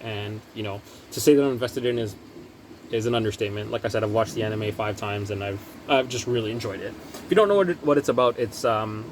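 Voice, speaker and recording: A man's voice: mid-range voice, a little mellow, slight background noise. A young man hosting a casual manga haul video, talking loosely with lots of fillers and restarts. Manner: rambling, lots of ums and likes. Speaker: manga collecting vlogger. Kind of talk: casual talk to camera.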